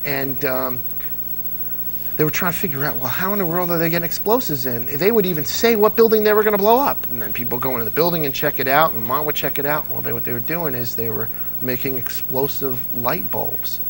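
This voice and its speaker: A man being interviewed as a fan of old-time radio, telling lively anecdotes in a relaxed conversational way. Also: medium pitch, fairly quick, slight background noise, rough recording. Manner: animated storyteller, mimics voices in anecdotes. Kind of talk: casual anecdotal chat.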